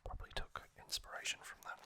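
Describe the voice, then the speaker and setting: A man whispering an unhurried, halting ASMR explanation in a breathy, unvoiced voice, as the host of a trivia recap. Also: sharp tone.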